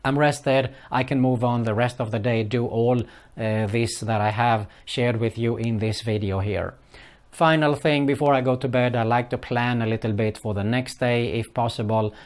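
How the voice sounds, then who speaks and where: A man's voice: mid-range voice, steady tone, normal speed. A middle-aged man from Sweden, speaking English as a later language and giving earnest, casual advice with many fillers. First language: Swedish. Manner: earnest, repeats "for example" and "keep that in mind", frequent "uh" fillers. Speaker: online business vlogger. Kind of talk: casual advice talk to camera.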